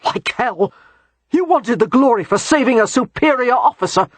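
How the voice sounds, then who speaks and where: A man voicing a bitter, traumatized male ex-soldier who shouts his accusations in a strained, tearful voice. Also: high voice, moderate pace, slightly rough, dry recording. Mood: furious. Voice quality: strained, near tears.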